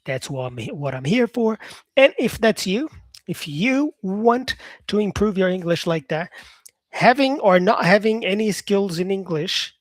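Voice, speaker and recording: A man who teaches English, talking casually to his viewers about their comments, upbeat and encouraging, often restarting himself and filling pauses. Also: high voice, expressive, no echo. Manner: rambling, restarts himself, many fillers.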